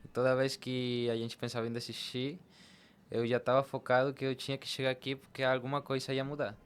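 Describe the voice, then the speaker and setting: A man's voice: medium pitch, monotone, a little fast, clean tone. A young man from Latin America, telling his personal story conversationally as a podcast guest.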